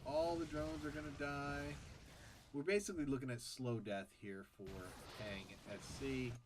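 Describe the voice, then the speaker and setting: A man's voice: mid-range voice, steady tone, unhurried, background noise. A man casting a strategy game match on his own, nasal and stuffed up after a bad sore throat, chatting casually between plays. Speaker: esports caster. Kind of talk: solo game commentary.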